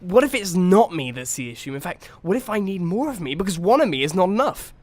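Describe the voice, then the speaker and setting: Man playing a mopey, higher-voiced horse. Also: sing-song, fast, darkish tone. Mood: dejected, pondering. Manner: sighing, rambling self-talk, sarcastic. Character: mopey young male horse, dreaming musician, anxious. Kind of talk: cartoon-like audio story acting.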